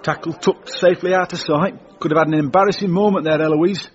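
A man's voice: a little high, steady tone, a little fast, natural voice. A man playing a fretful, good-natured everyman with a British accent in a comedy audio drama, fussy and exclamatory. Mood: bewildered, indignant. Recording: dull, slightly muffled recording.

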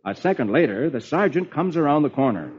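A man's voice: fairly high pitch, very animated, a little fast, a little mellow, slight background noise. A man playing a genial reader of comic strips to children, with theatrical 1940s radio storytelling delivery. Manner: theatrical, dramatic. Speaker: host reading the comics. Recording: dull old radio recording with music.

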